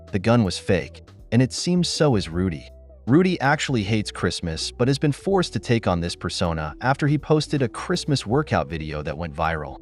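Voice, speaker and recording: A man reading a scripted recap narration as the host of a breakdown video. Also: lowish voice. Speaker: host of a TV episode breakdown channel.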